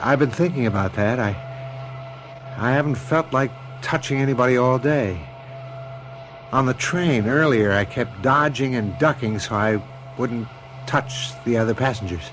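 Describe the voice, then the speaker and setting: An actor playing an uneasy, wry husband in a radio drama, casual on the surface and a bit hesitant. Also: male voice, mid-range voice, moderate pace, close to an everyday voice. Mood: troubled. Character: uneasy, wry husband, privately afraid of touching people. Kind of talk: old-fashioned radio drama acting. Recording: noisy vintage radio drama tape.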